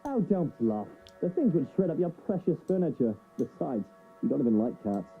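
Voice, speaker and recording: A woman's voice: sits low, big pitch swings, normal speed, narrow, tinny audio, faint music behind, pitched below the performer's own voice. A woman voicing a fussy, grumbling partner in playful banter. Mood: fussy protest.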